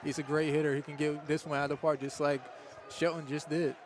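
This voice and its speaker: A man's voice: on the high side, level tone, brisk. A young man giving casual, chatty color commentary on a radio sports broadcast. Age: young adult. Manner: casual and chatty, laughs easily. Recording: game crowd and noise behind the voice.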